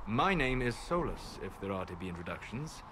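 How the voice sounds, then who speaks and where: A measured, learned mage, lowish voice. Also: male voice, sing-song. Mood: composed, grave. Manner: measured, formal, precise. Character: calm, learned apostate mage, measured and aloof. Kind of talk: fantasy video game acting. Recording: game audio with clear background noise.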